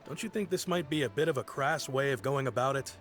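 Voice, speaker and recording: A man's voice: mid-range voice, fast talker, over music, natural voice. A man doing a curt, busy townsman. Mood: impatient. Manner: curt, dismissive. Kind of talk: game voice-over.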